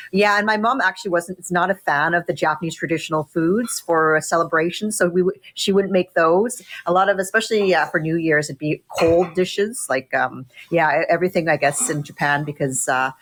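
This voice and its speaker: Canadian woman, forties, low-pitched, halting casual chat over video call. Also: a bit bright. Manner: hesitant, many fillers and restarts. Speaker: guest. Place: home room with window. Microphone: laptop camera on video call.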